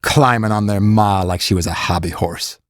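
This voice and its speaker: An actor playing a roguish, teasing baron in an audiobook, with a lilting voice and a folksy way of talking. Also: male voice, fairly low pitch, moderate pace, dry recording, studio quality, lightly acted. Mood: wry, fond. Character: roguish, teasing common-born baron, broad-shouldered grown man. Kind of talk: full-cast audiobook character acting.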